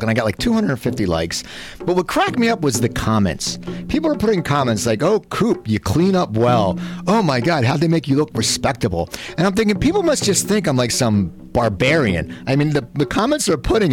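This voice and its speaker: A man hosting a casual radio talk show, rambling, with frequent stammered restarts. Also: medium pitch. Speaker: host.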